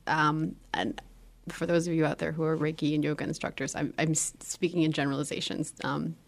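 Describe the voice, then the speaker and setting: Brief: young woman, yoga teacher, low-ish voice, relaxed chatty podcast host who laughs a lot. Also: fairly quick, gravelly. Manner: laughs often, self-corrects. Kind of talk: casual podcast chat.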